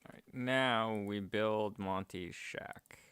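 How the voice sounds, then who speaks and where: A man's voice: fairly low pitch, slow pace, fairly bright tone, smooth, dry recording. A man streaming a game and chatting casually, rambling and correcting himself with small laughs. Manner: rambling, self-correcting, chuckling. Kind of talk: casual chat while gaming.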